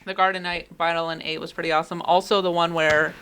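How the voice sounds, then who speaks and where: A woman's voice: fairly low pitch, little pitch movement, fast, clean tone, rough recording. A woman giving casual, playfully joking commentary on video game cutscenes as a critic and co-host. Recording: loud music behind the voice. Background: video game music.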